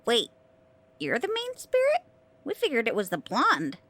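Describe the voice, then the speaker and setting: A woman voicing an excitable, cheeky magical sidekick in an audio drama, chattering and exclaiming over noise. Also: a little high, a little slow, full character voice. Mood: excited, startled.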